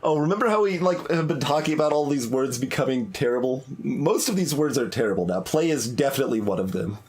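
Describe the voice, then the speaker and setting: A streamer giving casual playthrough commentary, halting and musing aloud. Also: mid-range voice, expressive, darkish tone. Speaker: video game streamer.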